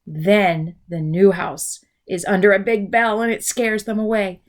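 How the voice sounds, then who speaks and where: A woman teacher reading a children's storybook aloud in an expressive, explanatory way for young listeners. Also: medium pitch, unhurried.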